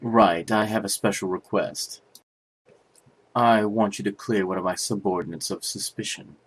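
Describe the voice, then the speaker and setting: A man voicing a grave, formal male chief prosecutor in a fan dub, speaking in a measured way. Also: lowish voice, fairly even intonation, husky.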